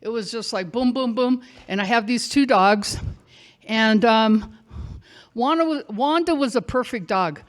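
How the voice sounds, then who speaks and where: An older woman with a high voice tells a story live on a theater stage. She talks slowly and chattily, and she calls out loudly when she acts out a scene.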